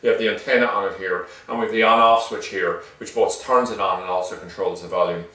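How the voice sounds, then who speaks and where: Echoey, fast-talking low-voiced Irish man. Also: fairly even intonation, sharp tone. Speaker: shop seller presenting product reviews. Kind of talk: informal unscripted product demonstration. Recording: echoey room, distant microphone.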